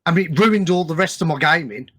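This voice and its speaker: A man hosting a casual interview podcast, talking haltingly and repeating his words as he goes. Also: a little high, fairly bright tone. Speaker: podcast host.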